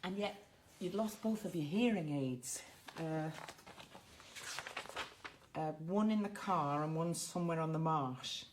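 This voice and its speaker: A woman acting in a radio drama as a patient, sceptical questioner, measured and probing. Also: deep voice, noisy background.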